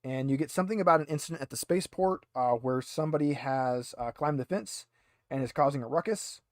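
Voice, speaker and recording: A man serves as game master for a tabletop game. He feels his way through the narration in a conversational, hesitant manner, with a mid-pitched voice in a very dry recording. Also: fairly quick, no echo.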